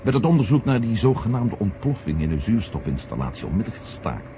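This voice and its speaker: A man's voice: fairly low pitch, sing-song, quick pace. A man acting a stubborn, suspicious journalist and former astronaut in a radio drama, insistent and wry. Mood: worried, earnest. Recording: muffled, noisy 1970 radio recording.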